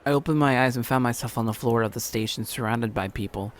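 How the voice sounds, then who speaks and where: Theatrical man reading a visual novel aloud. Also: fast talker. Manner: theatrical, often stumbles over the text. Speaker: let's play host. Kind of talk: dramatic read-aloud narration of visual novel. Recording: music behind, low-quality home recording.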